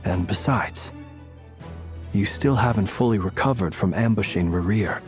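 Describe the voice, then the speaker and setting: A man playing a lowish-voiced, composed gentleman. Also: moderate pace, soft background music, lightly acted. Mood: dry, composed. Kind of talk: video game voice acting.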